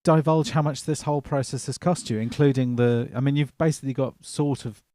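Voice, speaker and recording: A man with a fairly deep voice co-hosting a casual livestream chat, making jokey asides and reading out viewer comments. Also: steady tone, a little fast, mellow, dry recording, studio quality. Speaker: co-host.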